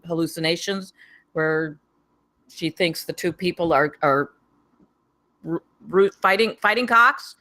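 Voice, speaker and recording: A woman giving casual feedback, emphatic but halting with repeated words. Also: on the low side, fairly bright tone. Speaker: script reader giving feedback.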